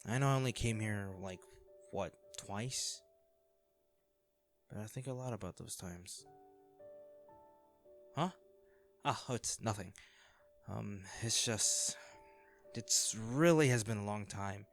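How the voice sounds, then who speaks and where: A man acting in an audio roleplay as an anxious, self-deprecating young man, a caring old crush who talks haltingly and intimately to the listener. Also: lowish voice, soft background music. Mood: soft, wistful. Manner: hesitant, halting, sighing.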